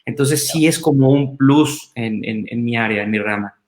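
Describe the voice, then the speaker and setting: A man talks on a video call, thinking aloud with fillers. His voice sits at an average pitch. It carries a roomy echo from the space around him. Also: moderate pace. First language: Spanish. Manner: thoughtful, restarts with fillers. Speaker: doctor. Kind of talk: casual video call chat.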